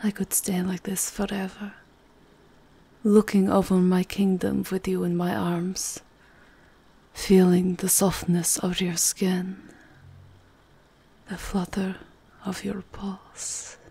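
A woman playing a seductive, aristocratic vampire countess in an intimate ASMR roleplay, with a breathy, husky voice that often drops to a whisper. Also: medium pitch, flat delivery, dark tone, full character voice. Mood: tender, languid. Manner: slow, sensual, unhurried murmuring.

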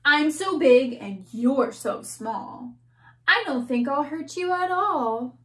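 A woman reading a picture book aloud, voicing a boastful young boy who taunts an ant in slow rhymes. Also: high-pitched, animated, fairly bright tone, full character voice. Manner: taunting, slow rhyming delivery.

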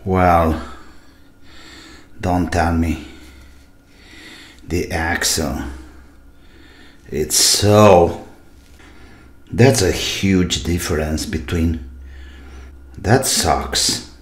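A man's voice: low voice, extremely slow, pauses often. A middle-aged man giving casual running commentary while repairing, musing aloud in short bursts and asking himself questions. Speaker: hobby repairer presenting his own video. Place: small indoor home workshop at a desk. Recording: echoey room with loud noise, clicks.

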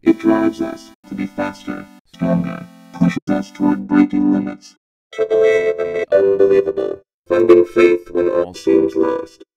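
A man's voice: deep voice, steady tone, measured pace, vocal fry, music in the background, low-quality recording. A man reading a dramatic motivational voice-over through a robotic synth vocoder. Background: synthesizer tones.

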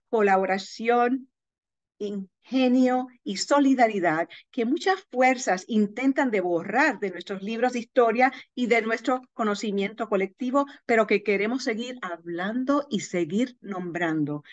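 A woman giving a live interpretation over a video call, halting with frequent pauses and fillers. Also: on the high side, dry recording. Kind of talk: simultaneous interpretation. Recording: dull lo-fi video call audio.

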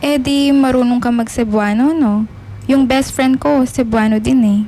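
A woman's voice: sits high, steady tone, moderate pace, mellow, a little hiss or hum, lightly acted. A woman acting a friendly, chatty young mother in a Filipino radio drama, easygoing and welcoming.

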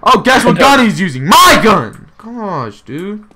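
A young man streaming a shooter game, chatting and trash-talking loudly with a friend. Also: high-pitched, a little slow, a crowd in the background. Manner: loud, excitable trash talk. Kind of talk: casual gaming banter.

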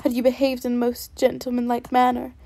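Casting: woman reading as a fiery young lady, higher voice, steady and mellow. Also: a little fast, creaky, no echo. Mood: indignant. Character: spirited young woman, sharp-tongued and indignant.

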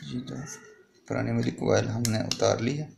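A man's voice: medium pitch, normal speed, gravelly. A man explaining a hands-on repair step by step in a plain, improvised way, like a tutorial host. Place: indoor repair workbench. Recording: noisy home-made recording with clicks.

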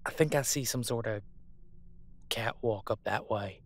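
A man playing a gruff, scornful veteran monster hunter in an audio roleplay, folksy and bossy. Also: lowish voice, unhurried. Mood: scheming, scornful.

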